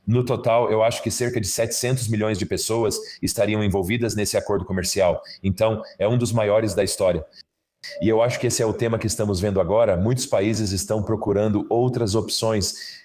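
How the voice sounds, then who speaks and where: Man, interview host, lowish voice, agreeable, questioning. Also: fairly even intonation, fairly quick, darkish tone, a little hiss or hum. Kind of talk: interview questions, Portuguese dub.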